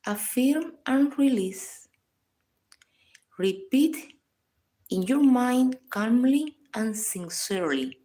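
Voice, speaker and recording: A woman reading a scripted guided meditation in a calm, measured, instructional manner. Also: on the high side, low-quality recording. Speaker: psychologist guiding a meditation.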